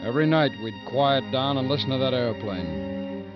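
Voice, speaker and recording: An actor as a wistful convict narrator, dull old radio. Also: male voice, mid-range voice, animated, normal speed, natural voice. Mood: wistful, reflective. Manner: reflective, folksy ungrammatical speech. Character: wistful male road-gang convict narrating his story. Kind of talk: 1950s radio drama narration. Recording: dull 1950s radio recording with music behind.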